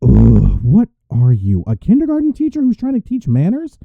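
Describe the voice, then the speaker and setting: Heavily muffled, as if behind a closed door, a man acts out an exasperated male rival in a romance audio drama. He grumbles sarcastically in a somewhat high, animated, tired voice. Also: moderate pace, gravelly, no room sound, exaggerated voice. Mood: exasperated, weary. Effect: muffled, as if through a door. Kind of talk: romantic audio roleplay acting. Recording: muffled, phone-like narrow band, dry.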